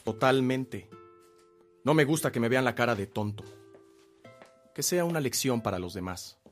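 A man's voice: medium pitch, lively intonation, normal speed, faint music behind, lightly acted. Stern, domineering farm owner played by a man. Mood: stern, commanding. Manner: curt, authoritative. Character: stern, domineering brother who owns the farm. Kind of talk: radio drama acting.

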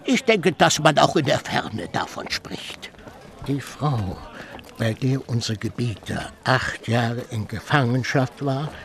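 A man's voice: mid-range voice, gravelly, a bit bright, slight background noise, exaggerated voice. An actor playing a sly, gloomy male court jester who mocks the world in rhymes for a radio play. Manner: mocking, rhyming, sing-song asides.

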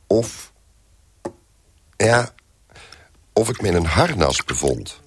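An actor playing a trapped, bewildered man who tells his own ordeal in a radio drama, in a deliberate, musing, wry manner. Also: on the low side, very animated, exaggerated voice. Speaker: narrator and lead character. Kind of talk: radio drama first-person narration.

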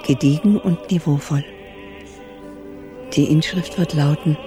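Genteel, sentimental old lady: solemn, unhurried delivery over clear music, radio drama. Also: low voice, warm tone. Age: older.

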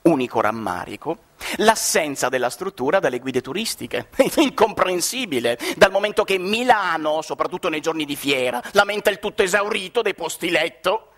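High-pitched, animated male stage narrator. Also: normal speed. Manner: ironic, declamatory, with sudden shouted exclamations. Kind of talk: theatrical satirical narration.

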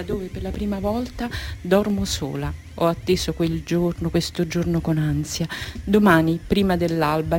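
A woman's voice: fairly low pitch, a little mellow, slight background noise, natural voice. An actress in a radio drama playing a young Somali girl who solemnly recalls a frightening ordeal. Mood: expectant, solemn. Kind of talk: radio drama narrative monologue.

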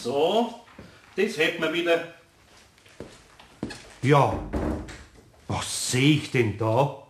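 A man's voice: fairly high pitch, big pitch swings, very slow, background noise, full character voice. An actor playing a good-natured older master craftsman with a Bavarian accent and a homely, muttering manner in a children's radio play. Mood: amused, approving.

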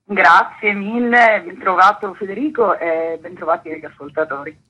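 A woman's voice: fairly low pitch, normal speed, bright, forceful tone, breathy edge, poor audio. A woman being interviewed on the radio as a festival's artistic director, explaining things in an articulate way.

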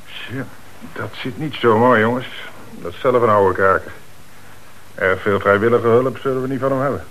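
A man's voice: medium pitch, moderate pace, a bit bright, close to an everyday voice, low-quality recording. A man acting in a radio play as a stern, dry, businesslike police commissioner, speaking with insistent authority. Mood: matter-of-fact. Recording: hissy old radio play recording.

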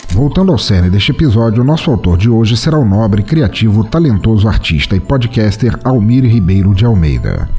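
A man with a Brazilian Portuguese accent, hosting a podcast and reading a short story aloud with theatrical, playful pauses. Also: fairly low pitch, very animated, mellow, soft background music. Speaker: podcast host and story reader.

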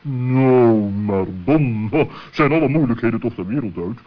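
An actor playing a practical, plain-speaking male owl in a children's radio play, with a husky voice. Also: mid-range voice, unhurried, close to an everyday voice. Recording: dull old radio play recording.

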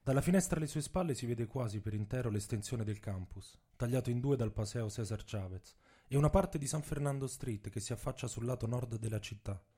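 A man's voice: mid-range voice, a little fast, mellow, husky. A man reading his own literary text aloud as dramatic narration.

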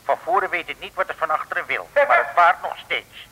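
An actor playing a pedantic, officious government clerk in an old comic radio play, speaking formally. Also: male voice, big pitch swings, brisk, sharp tone, breathy edge, heavily acted. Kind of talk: 1950s-60s Dutch comic radio play acting. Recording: old radio recording, boomy reverb.

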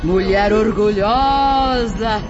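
A man acting a scornful, mocking spirit in a radio drama. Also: high-pitched. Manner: mocking, drawn-out. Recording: muffled, loud music behind.

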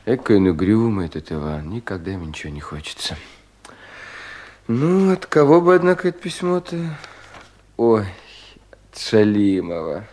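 Anxious young provincial clerk, lowish voice, theatrical soul-searching with swells and hushed drops, classic radio drama. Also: male voice, sing-song, moderate pace, long pauses, slight background noise. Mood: weary, curious. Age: young adult. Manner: brooding, theatrical, self-questioning, with sudden swells and hushed drops. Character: troubled, self-doubting young provincial official, anxious and brooding. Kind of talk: 1970s Russian audio play, theatrical monologue.

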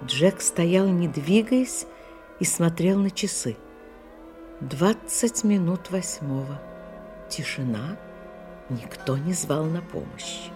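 A woman's voice: lowish voice, animated, slow pace, long pauses, music in the background. A woman narrating an audio drama from a script, in a storytelling style.

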